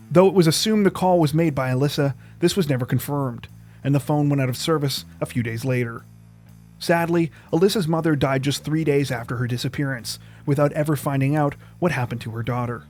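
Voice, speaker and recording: A man reads scripted true crime narration in a measured, serious manner. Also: mid-range voice, a little mellow. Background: plucked guitar music.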